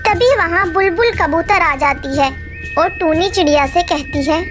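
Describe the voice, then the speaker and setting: Very high-pitched woman storyteller, reverberant. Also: unhurried. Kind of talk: scripted children's story narration. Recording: echoey long reverb over music.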